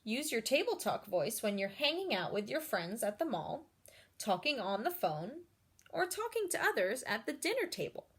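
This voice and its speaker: A woman's voice: a little high, big pitch swings, normal speed, slightly rough. A woman voicing a kind, patient storybook schoolteacher who coaches a pupil in an encouraging, instructive way. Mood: explaining.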